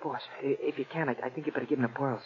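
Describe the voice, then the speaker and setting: A man's voice: medium pitch, steady tone, racing, noisy background, close to an everyday voice. A man playing a loyal, nervous little sidekick who stammers in ungrammatical street slang in a 1940s radio drama. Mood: worried, apologetic. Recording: muffled old-time radio recording.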